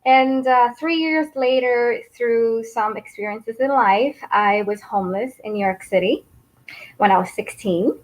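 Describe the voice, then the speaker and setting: A woman's voice: fairly high pitch, measured pace, poor audio. A woman raised in Taiwan whose first language is Mandarin, answering interview questions in an earnest way and laughing easily. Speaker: guest, business founder.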